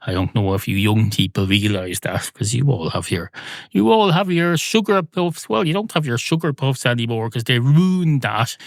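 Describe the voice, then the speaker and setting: Deadpan man rambling, dry close sound. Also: mid-range voice, no room sound. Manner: deadpan, absurd digressions. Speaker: podcast host. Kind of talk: rambling comic solo monologue.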